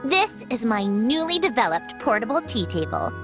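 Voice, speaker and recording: A woman's voice: a little high, big pitch swings, moderate pace, music in the background. A woman playing a proud, refined young lady inventor in an anime video game, speaking in a prim, self-assured and articulate way. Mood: proud, composed.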